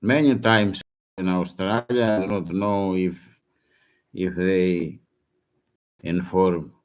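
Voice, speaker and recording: Slow, halting man speaking broken English. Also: sits low, fairly even intonation. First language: Greek. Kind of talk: halting self-recorded warning.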